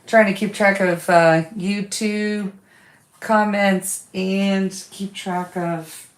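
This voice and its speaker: A woman's voice: mid-range voice, steady tone, takes it slowly, reverberant. A woman who has lost her voice, hosting a quilting livestream, chatting casually with viewers and reading their comments while she sews.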